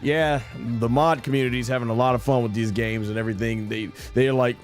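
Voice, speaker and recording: Male gaming streamer, mid-pitched voice, casual live commentary, faint music behind. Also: steady tone, brisk. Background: game music.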